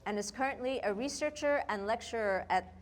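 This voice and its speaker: A woman's voice: fairly high pitch, brisk, a little hiss or hum. A woman moderating a conference panel, formally reading a speaker's introduction. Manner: formal, reads a prepared introduction. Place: lecture hall.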